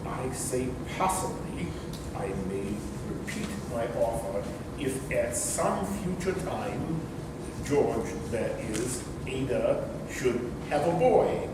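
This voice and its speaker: A man's voice: mid-range voice, lively intonation. A man playing a stubborn, stuffy rich uncle who speaks formally in a staged comedy reading. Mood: firm, uneasy. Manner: formal, deliberate phrasing. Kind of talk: staged readers theater comedy. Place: large room or hall, distant microphone. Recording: echoey room from far off, noisy.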